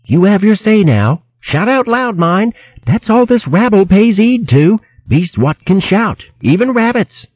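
A man's voice: sits high, sing-song, a little slow, husky, no echo, lo-fi sound, pitched above the performer's own voice, exaggerated voice. A man voicing a fierce, bossy female hedgehog who scolds in rustic country speech. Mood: urging.

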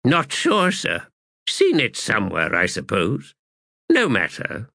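A man's voice: fairly low pitch, wildly swooping pitch, slow pace, vocal fry, bright, forceful tone, dry recording, studio quality, voice pushed up, exaggerated voice. A man voicing a polite, puzzled military subordinate who questions his commander in an audiobook. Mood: probing.

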